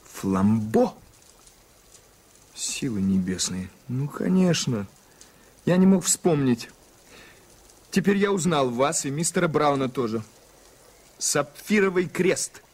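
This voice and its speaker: An actor playing an earnest, polite, doubting American journalist in a radio play, with formal, hesitant speech. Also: male voice, a little high, lively intonation, takes it slowly, pauses often, a little mellow, breathy edge, full character voice. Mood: astonished. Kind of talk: 1980s radio play acting.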